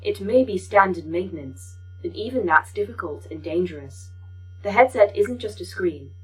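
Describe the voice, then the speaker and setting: An actress playing a polite, pedantic A.I. that speaks formally and precisely in a sci-fi audio drama. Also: female voice, lowish voice, expressive, normal speed, soft background music, close to an everyday voice, rough recording. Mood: formal, matter-of-fact. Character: polite, pedantic female A.I. running a facility. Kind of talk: audio fiction acting.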